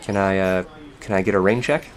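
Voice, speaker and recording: A man's voice: low-pitched, steady tone, fairly quick, close to an everyday voice. A man voicing a tired, curt, sardonic teenage boy in an audiobook drama. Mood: weary, dazed. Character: world-weary, sardonic teenage tough boy who smokes. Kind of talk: audiobook character acting.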